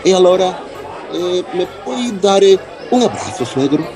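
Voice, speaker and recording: A man's voice: high voice, lively intonation, unhurried. A man playing an eager, effusive Italian son-in-law in a radio soap opera, with an Italian accent and theatrical, language-mixing speech. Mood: romantic, eager. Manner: mixes Italian words into Spanish, theatrical. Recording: music and crowd behind.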